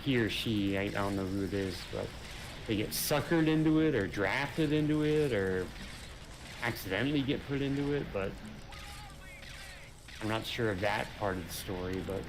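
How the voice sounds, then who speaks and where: Casual male gamer, lowish voice, meandering and unsure, heavy game noise in the mix. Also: expressive, moderate pace. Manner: rambling, hesitant, many fillers. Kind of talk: casual gaming chat. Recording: noisy game audio behind.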